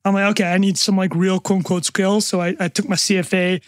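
Canadian man in his thirties, podcast guest; high, fast, wisecracking delivery, recorded close and dry. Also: little pitch movement, no room sound. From North America. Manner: joking, self-deprecating, quick asides. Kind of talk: casual podcast interview.

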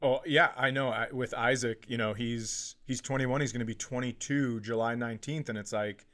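A man's voice: medium pitch, fairly even intonation, normal speed, a bit bright. A middle-aged man co-hosting a podcast, chatting casually and telling stories with comic exaggeration. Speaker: podcast co-host. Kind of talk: casual comedic chat.

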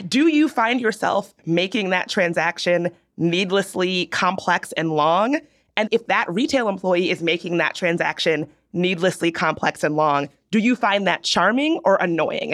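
Opinionated young woman from the South. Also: fairly low pitch. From Virginia, US South. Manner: animated, opinionated, drawn-out storytelling. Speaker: podcast co-host. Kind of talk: casual chat.

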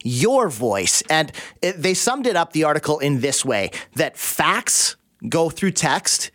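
A Canadian man chatting casually as a radio contributor, emphatic and animated as he makes his points. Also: fairly high pitch, takes it slowly, no room sound, studio quality. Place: dry radio studio.

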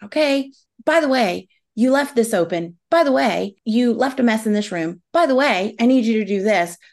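A woman's voice: fairly high pitch, expressive, normal speed, darkish tone. A middle-aged woman, a guest on a parenting podcast, talking earnestly in long explanatory runs with occasional fillers. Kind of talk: conversational podcast interview.

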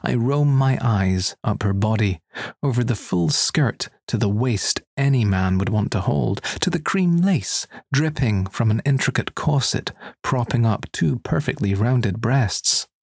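A man's voice: mid-range voice, expressive, a little slow, creaky, darkish tone, husky, dry recording, studio quality. A man working as an audiobook narrator, reading a scripted first-person erotic story.

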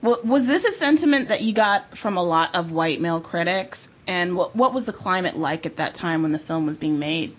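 A woman's voice: fairly low pitch, lively intonation, moderate pace, few pauses, dull audio. A woman co-hosting a podcast, asking the guest questions in an engaged way with small restarts. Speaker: podcast co-host. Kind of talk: interview questions.

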